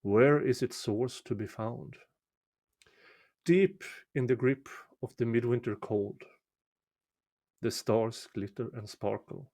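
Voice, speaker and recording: A man recites a folk poem in a storytelling style. His voice sits at an average pitch. He takes his time, placing each short phrase deliberately with measured pauses. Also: mellow. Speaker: amateur reader of a poem.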